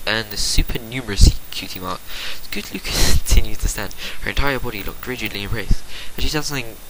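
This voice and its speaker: A man reading fan fiction as a dramatic narration, theatrical and swinging between hushed and forceful, on an amateur home recording. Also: fairly low pitch, fast talker, a little hiss or hum, poor audio. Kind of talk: dramatic storybook-style narration.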